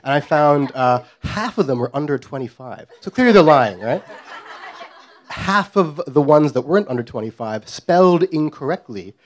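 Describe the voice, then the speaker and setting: A man's voice: on the high side, expressive, moderate pace. A middle-aged man from Guyana telling a comic story on stage in a wry, self-deprecating way. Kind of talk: live comedic storytelling.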